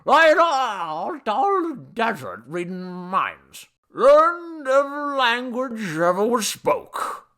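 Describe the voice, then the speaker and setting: A man voicing a tipsy, boastful old Babylonian idol god from a story, with a slurred, booming, folksy drawl. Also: sing-song, slow, sharp tone, exaggerated voice. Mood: drunken boasting.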